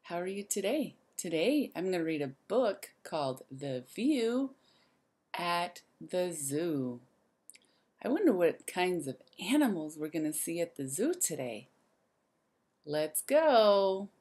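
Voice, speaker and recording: Animated woman reading slowly to children. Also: lowish voice. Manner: slow, sing-song, addressing children directly. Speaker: teacher reading to young children. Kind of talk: expressive children's picture-book read-aloud with asides.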